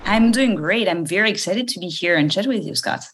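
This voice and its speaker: A woman from France with a French accent, a company founder talking in a relaxed interview, pausing often with fillers. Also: medium pitch. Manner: thoughtful, many pauses and fillers. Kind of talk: casual interview.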